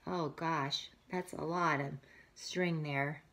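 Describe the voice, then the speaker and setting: A woman teacher reading a picture book aloud to young children, expressively, with breathy asides and questions to her listeners. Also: deep voice, fairly even intonation, slow pace, fairly bright tone.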